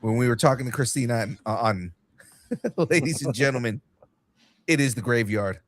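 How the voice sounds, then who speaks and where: A young man hosting a casual podcast, excitable and swearing freely, with a light cold and a slight cough. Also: medium pitch, normal speed. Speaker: podcast host.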